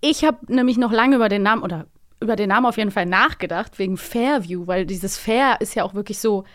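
A woman's voice: medium pitch, quick pace, dry recording. A woman in her thirties chatting casually as a podcast co-host, in a close recording. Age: 30s. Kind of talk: casual chat about audio dramas.

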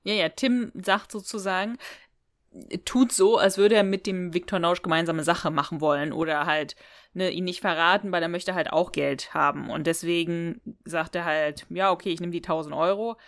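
A woman with a slightly low voice talks casually as a podcast co-host, retelling a story. She is chatty and giggly and slips into quoted lines as she goes. The recording is close and dry. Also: steady tone, moderate pace, no echo. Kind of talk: casual chat retelling and reviewing an audio drama episode.

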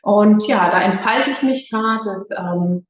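A yoga teacher from Lower Franconia, talking as a guest in a hesitant, slightly nervous way with many fillers. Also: female voice, measured pace. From Lower Franconia, Germany. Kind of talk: casual interview. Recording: echoey room.

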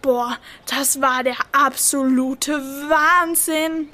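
A very high, bright little squirrel boy for a children's radio play. He is excitable and boastful, with a childlike eagerness that tips into exclamations and stammers. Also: extremely slow, exaggerated voice. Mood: amazed. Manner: exclaiming, stammers when timid. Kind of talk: children's audio drama acting.

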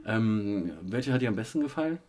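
A man's voice: fairly low pitch, fairly quick. A man with a cold, hosting a casual family podcast and retelling a day out step by step. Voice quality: husky. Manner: relaxed, many fillers, retells events step by step. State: has a cold. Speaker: podcast host with his child.

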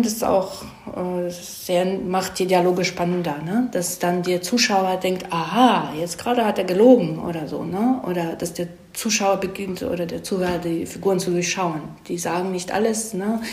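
A woman's voice: lowish voice, a little mellow. A woman screenwriter giving an interview, explaining her craft in a casual chat and often checking in with short tag questions. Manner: explains with frequent check-in tags. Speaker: screenwriter being interviewed about storytelling craft. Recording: roomy echo around the voice.

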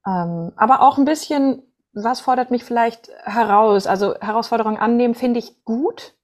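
A woman's voice: fairly high pitch, moderate pace, darkish tone. A woman from northern Germany, a voice coach and singer, answering conversationally as a podcast guest.

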